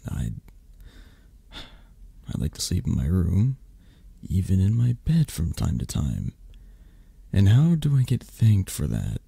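Sick, sulky mafia boss played by a man for ASMR romance: raspy, swooping intonation, close dry sound, plaintive and possessive. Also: low voice, wildly swooping pitch, dry recording, exaggerated voice. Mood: sulky grumbling. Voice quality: soft, breathy, raspy. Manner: halting, sighing, plaintive. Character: sick, grumbling, needy male mafia boss, possessive lover. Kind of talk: ASMR romance roleplay acting.

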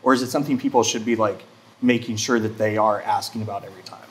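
A man's voice: on the low side, fairly even intonation, quick pace, a little hiss or hum. A man in his forties hosting a local TV talk show, chatting casually with many fillers and asides, recorded on a lapel mic in a studio. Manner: chatty, self-deprecating, lots of fillers. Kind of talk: casual chat. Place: TV studio set.

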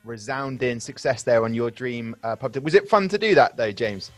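A man's voice: mid-range voice, moderate pace, soft background music. A man in his thirties hosting a casual interview over a video call, upbeat and chatty. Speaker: host.

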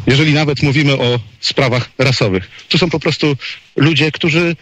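A man's voice: fairly high pitch, normal speed, fairly bright tone. A man working as a radio correspondent, giving opinionated live commentary in a hesitant, wordy way.

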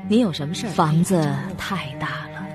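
An actress playing a lonely, mysterious landlady in a horror radio drama, speaking in a measured way. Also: deep voice, lightly acted. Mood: composed. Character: eerie, lonely landlady of an old mansion. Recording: music behind the voice.

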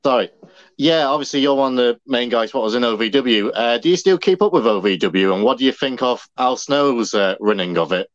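A man's voice: medium pitch, animated, brisk. Man on a muffled video call. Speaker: co-host reading questions. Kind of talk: casual interview questioning. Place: indoor room, video call. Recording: dull lo-fi video call audio.

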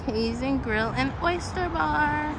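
A woman's voice: high-pitched, slow pace, heavy background noise. Chatty young woman vlogging on her phone. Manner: chatty, bubbly, addresses viewers as y'all. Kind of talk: casual travel vlog. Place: car, then restaurant and shop. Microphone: phone at arm's length.

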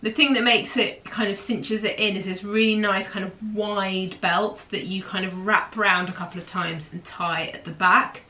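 A woman's voice: mid-range voice, steady tone, moderate pace, few pauses, pushed and bright, slight background noise. A woman in her thirties with a stuffy, nasal voice from hay fever, chatting enthusiastically to camera from a small room at home. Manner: enthusiastic, gushing, gestures a lot with her hands. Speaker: host of a sewing pattern show. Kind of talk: casual chatty review to camera.

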